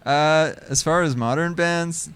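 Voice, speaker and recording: A man's voice: on the high side, measured pace, a bit bright, clean tone. A man in his twenties from a band chatting casually, easygoing and slangy, mixing in some Spanish phrases. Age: 20s. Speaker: band member. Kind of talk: casual chat.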